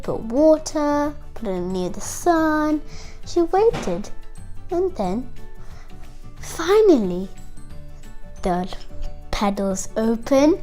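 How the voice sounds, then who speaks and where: A woman's voice: high voice, a little mellow. A young girl hosting a kids' show, retelling a fairytale to children in a chatty, singsong, dramatic way. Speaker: storytelling host of a kids' show.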